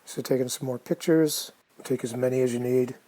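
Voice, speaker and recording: Male hobbyist narrator: relaxed, dark-toned, fairly monotone, unhurried and informal, talking through his own work. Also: medium pitch, slight background noise. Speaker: hobbyist restorer narrating a project. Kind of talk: casual DIY narration.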